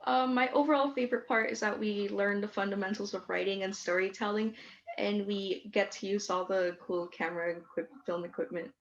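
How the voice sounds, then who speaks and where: A woman's voice: mid-range voice, steady tone, brisk. Dull-sounding teenage American girl. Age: teens. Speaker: student screenwriter being interviewed. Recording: dull video-call audio.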